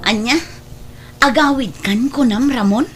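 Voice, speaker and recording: A woman's voice: on the high side, a little slow, over loud music, lightly acted. A woman with an Ilocano Filipino accent playing a guilty, evasive older sister in an audio drama. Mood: nervous, placating.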